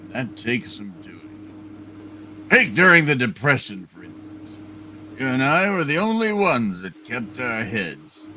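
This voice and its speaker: A man puts on a pompous old tycoon for a radio play. He is deliberate and wheedling, with a bright, forceful edge and lively pitch, over noticeable background noise. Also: full character voice. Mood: scheming. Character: Mister Potter, scheming old male small-town tycoon. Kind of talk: hammed-up live radio play reading.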